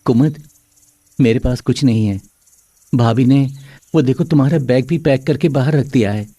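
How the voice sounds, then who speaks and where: Man playing an earnest young husband, mellow and dry. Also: medium pitch, moderate pace, dry recording, natural voice. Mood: concerned. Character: earnest young husband, protective of his wife. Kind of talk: moral-story cartoon dialogue.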